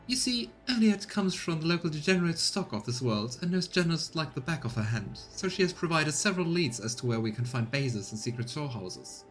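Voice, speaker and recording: A man plays an anxious noblewoman who governs a planet in a video game, pitched well up in a theatrical voice. She is fawning yet haughty, with formal phrasing, over faint music. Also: big pitch swings, a little fast, hardly any pauses, a bit bright. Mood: deferential, disdainful.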